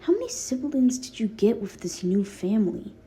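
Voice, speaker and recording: A woman's voice: a little high, sing-song, moderate pace, mellow, breathy edge, heavily acted. A woman voicing a feral, needy little sister with a blunt, whiny manner in a fan fiction audiobook. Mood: incredulous, curious.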